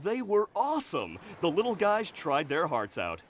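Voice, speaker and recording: An actor playing an easygoing, friendly beach lifeguard jock in a cartoon video game, with a surfer drawl. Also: male voice, high-pitched, huge pitch swings, moderate pace, a little hiss or hum. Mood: relaxed, upbeat.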